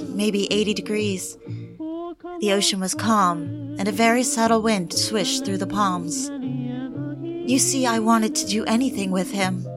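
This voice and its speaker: A woman's voice: medium pitch, natural voice. Actress as a yearning woman in love, music behind. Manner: intimate, reflective. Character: romantic, yearning woman in love, recounting her affair. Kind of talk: audio drama, confessional first-person narration.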